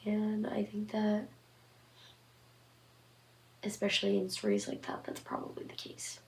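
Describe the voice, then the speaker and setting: A young woman with a flat, monotone delivery and a gravelly edge to her voice, rambling on with lots of fillers as she hosts her scary story channel. She sits back from the mic in an echoey room. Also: mid-range voice, unhurried, whispered, distant mic. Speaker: horror story channel host. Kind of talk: casual vlog commentary. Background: occasional passing train.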